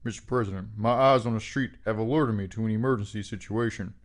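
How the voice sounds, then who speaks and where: A stern presidential security man played by an actor in a comic audio drama. His voice sits fairly low, and he delivers everything with grave, formal zeal. The delivery stays deadpan and clipped. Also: fast talker, close to an everyday voice. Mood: grave, urgent. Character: stern, zealous male presidential security aide.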